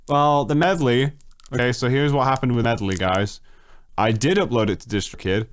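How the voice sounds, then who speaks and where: A young man chatting casually on a late-night stream, answering viewer questions, swearing freely and jumping up in pitch when excited. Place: home at night.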